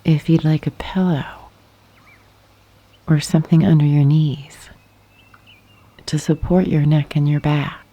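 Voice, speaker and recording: A woman guiding a yoga nidra meditation in a soft, breathy half-whisper, gently and calmly, with long pauses. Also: low voice, dull audio. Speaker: meditation guide. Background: birdsong and nature sounds.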